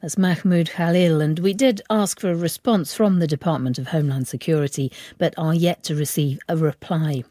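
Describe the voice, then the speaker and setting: A woman's voice: sits low, moderate pace, few pauses, mellow, dry recording, clean studio sound. A woman news presenter who asks probing interview questions and reads scripted news links. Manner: inquisitive, then crisp scripted reading.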